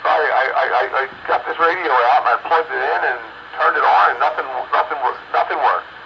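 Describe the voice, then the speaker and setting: A man's voice: a little high, brisk, gravelly, muffled recording. A man chatting casually as a radio operator over a CB transmission. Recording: very noisy two-way radio signal.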